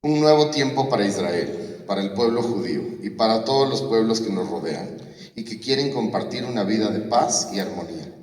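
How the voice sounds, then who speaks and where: A man with a lowish voice gives a solemn commemorative speech, building phrase on phrase in a rhetorical cadence. He is heard from afar in a large concert hall with a long reverb. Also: animated, normal speed, darkish tone. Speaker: speaker at a memorial ceremony. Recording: roomy hall from far off.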